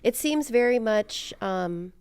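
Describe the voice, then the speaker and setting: A woman's voice: on the high side, animated, slow, darkish tone, smooth. A woman hosting a podcast interview, chatting in a casual, informal and candid way. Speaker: podcast host.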